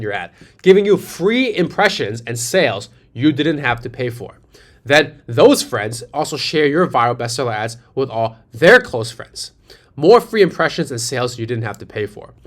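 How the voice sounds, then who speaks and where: A man with a New York accent, an agency founder giving a persuasive sales presentation over slides, asking rhetorical questions and repeating his key phrases. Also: medium pitch. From New York City.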